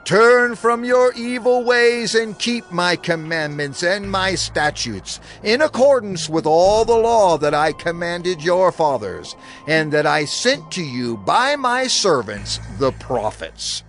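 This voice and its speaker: An urgent divine voice of prophecy in an audio scripture drama. It is high and swooping, with slow, drawn-out declamation over music. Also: male voice, sing-song, a bit bright, heavily acted. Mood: urgent warning. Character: God pleading through his prophets, urgent warning voice.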